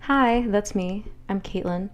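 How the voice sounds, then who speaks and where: A woman's voice: medium pitch, slow pace, highs cut off. A young adult woman, a writer, conversationally introducing her own audio drama in the first person.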